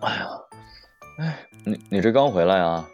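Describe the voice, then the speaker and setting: A man's voice: low-pitched, animated, normal speed, a little mellow, soft background music, pitched below the performer's own voice. A man playing a flustered, blustering young man who stammers out lame excuses in an audio drama. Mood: evasive, flustered. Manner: exclamatory, stammering, colloquial. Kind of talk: live audio drama acting.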